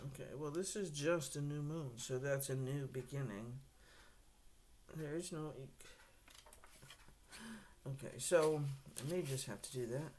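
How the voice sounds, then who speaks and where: Hoarse woman giving a blunt tarot reading. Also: deep voice, unhurried, long pauses, darkish tone, a little hiss or hum. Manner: frequent throat clearing; blunt, direct, lecturing the viewer. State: hoarse, losing her voice. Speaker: tarot reader. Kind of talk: casual tarot reading to camera.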